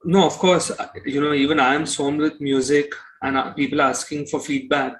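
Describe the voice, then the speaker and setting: Higher-pitched man. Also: little pitch movement, normal speed. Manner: opinionated, blunt, repeats himself. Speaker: interview guest, musician. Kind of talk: conversational interview.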